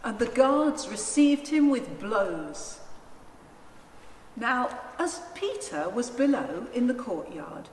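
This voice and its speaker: A woman narrating a dramatised scripture reading in a solemn, deliberate manner. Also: a little high, very animated. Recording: echoey church, long reverb, faint noise.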